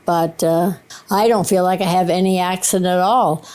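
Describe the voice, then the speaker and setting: A woman's voice: lowish voice, fairly even intonation, normal speed, darkish tone. A woman of 78 with a Maine accent, chatting casually as she is interviewed. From Maine, USA. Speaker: interviewed grandmother.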